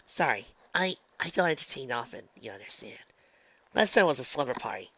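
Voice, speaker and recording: A man's voice: medium pitch, sing-song, fairly quick, creaky, sharp tone, no echo, lo-fi sound, exaggerated voice. A man voicing a shy, polite, bookish female unicorn who speaks with a hesitant stammer. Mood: apologetic.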